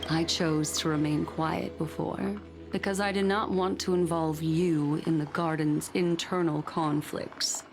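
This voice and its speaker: An enigmatic woman who keeps memories and secrets, in a low register. She speaks formally and deliberately, always composed and courteous, as in a video game cutscene, with music clearly behind her. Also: steady tone. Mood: grave, guarded. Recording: background music under the voice.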